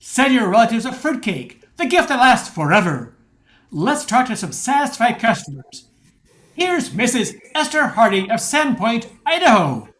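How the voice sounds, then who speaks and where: A woman's voice: on the high side, very animated, normal speed, a bit bright. A woman playing a folksy radio announcer, delivering scripted narration and sponsor copy in old-time style. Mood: cheery selling.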